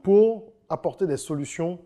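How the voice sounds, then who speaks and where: A man's voice: sits high, normal speed, warm tone. Man hosting a podcast with confident summaries. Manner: affirming interjections, rounding off points with a confident summary. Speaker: podcast host. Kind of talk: casual interview.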